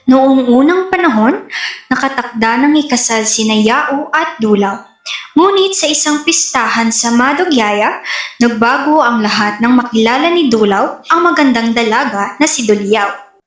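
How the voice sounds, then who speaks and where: A Filipino woman narrates a dramatization from a script. Her voice is on the high side, and the room around her echoes clearly. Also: expressive, a little slow.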